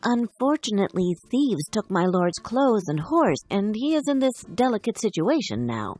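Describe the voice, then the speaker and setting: A woman's voice: mid-range voice, sing-song, moderate pace, a little mellow, soft background music, pitched above the performer's own voice. A woman voicing a cunning, polite male cat servant in a children's storybook, speaking in an earnest, persuasive, courtly manner. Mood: formal.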